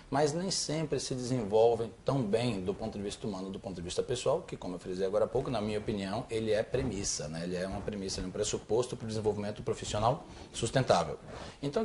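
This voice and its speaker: A man from Bahia talks at a rapid clip in a lowish voice. He explains things articulately as an interview guest, repeating phrases for weight, with a faint background noise behind him. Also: a little mellow. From Salvador, Bahia, Brazil. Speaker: interview guest, business executive and consultant.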